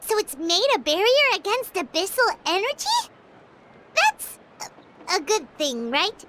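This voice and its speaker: A tiny, excitable fairy companion from a video game. The voice is extremely high and squeaky, full of exclamations, and it bounces around in pitch. Loud game noise sits behind it. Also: female voice, unhurried, exaggerated voice. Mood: curious, amazed. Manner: squeaky, exclamatory, speaks of herself in third person. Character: small, excitable, childlike floating fairy companion. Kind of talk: video game character acting.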